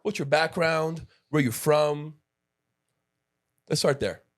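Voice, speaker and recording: A man's voice: fairly high pitch, slow pace. A man in his late thirties hosting a podcast, chatting casually and rambling with restarts and asides. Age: 30s. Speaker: podcast host. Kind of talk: casual interview chat.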